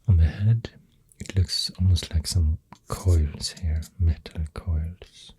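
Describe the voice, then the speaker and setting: Very low, hushed Swedish-accented ASMR voice. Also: steady tone, dry recording. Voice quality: breathy, half-whispered. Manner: hushed, hesitant, pausing mid-thought. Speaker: ASMR host. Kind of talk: soft-spoken ASMR rambling. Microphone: close ASMR microphone. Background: pencil writing sounds.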